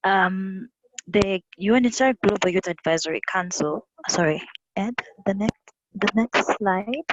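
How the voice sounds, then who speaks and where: A woman's voice: medium pitch, measured pace, muffled recording. East African woman presenting over windy, noisy audio. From South Sudan. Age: young adult. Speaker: youth advocate presenting. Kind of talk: webinar presentation. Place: online call from a windy spot. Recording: windy, noisy online call with clicks. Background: wind noise.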